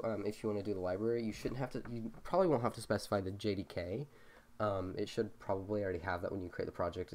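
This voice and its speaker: A young man with a slight cold, explaining coding steps in a casual, halting way full of ums. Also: low voice, darkish tone. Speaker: programming tutorial host. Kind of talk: screen-recorded coding walkthrough.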